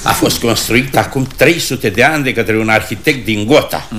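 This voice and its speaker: An actor playing a self-important small-town mayor, officious and ceremonious, in a radio comedy. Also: male voice, mid-range voice, sharp tone, a little hiss or hum, close to an everyday voice. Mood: proud. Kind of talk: radio comedy stage acting.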